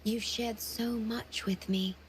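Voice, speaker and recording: A gentle young guardian from a video game cutscene. She is earnest and devoted, with a breathy, measured softness. Some background noise and ambience sit behind her voice. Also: a little high, steady tone, low-quality recording. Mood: tender, wistful.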